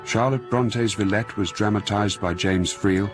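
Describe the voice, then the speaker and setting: A man reading a scripted radio announcement in a formal, measured manner. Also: fairly low pitch. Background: music with violin.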